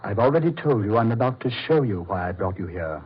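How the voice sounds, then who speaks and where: A male actor playing a courteous, sinister mad doctor in old radio horror, silky, condescending and measured. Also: fairly low pitch, sing-song, breathy edge. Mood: smugly courteous. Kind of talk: 1940s radio horror acting. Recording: dull, muffled old radio recording.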